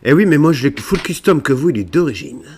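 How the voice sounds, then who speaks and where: A man's voice: on the high side, sing-song, fast talker, darkish tone. A man improvising game roleplay and chatting casually, playfully hamming it up with slang. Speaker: gaming video creator playing online roleplay.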